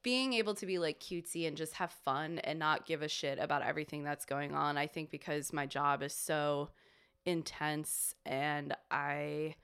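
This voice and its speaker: A woman's voice: low voice, steady tone, normal speed, fairly bright tone, smooth. A young woman chatting casually as a podcast guest, rambling with lots of fillers and restarts. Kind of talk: casual chat.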